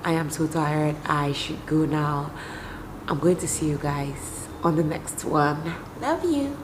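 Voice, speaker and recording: A woman talks to her vlog viewers in a hoarse, worn-out voice, relaxed and chatty. Her voice sits a little low, and a clear hum of background noise runs under the recording. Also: takes it slowly. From Cameroon. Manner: tired, talking to viewers as "guys". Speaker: lifestyle vlogger. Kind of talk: casual vlog chat.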